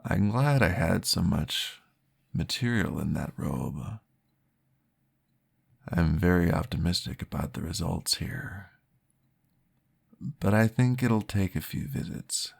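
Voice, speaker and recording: A man voicing a calm, patient male vampire therapist, soft-spoken and reassuring, often dropping into a whisper in an ASMR roleplay. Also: sits low, slow, long pauses, full character voice. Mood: calmly upbeat. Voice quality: gravelly, husky. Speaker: therapist guiding a listener.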